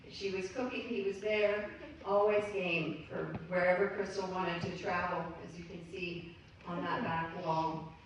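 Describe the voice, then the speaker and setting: Woman emceeing a memorial, unhurried, mic far away, light hum behind. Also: fairly low pitch, little pitch movement. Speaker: memorial service host. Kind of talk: introducing speakers at a memorial. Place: memorial gathering in a large room. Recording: echoey hall, distant mic, faint hum.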